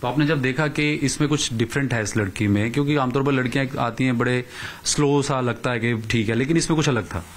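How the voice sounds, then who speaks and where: Man, thirties, sports reporter, conversational interviewing, mid-pitched voice. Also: fairly quick, slight background noise. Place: small living room at home, indoors. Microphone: handheld reporter microphone.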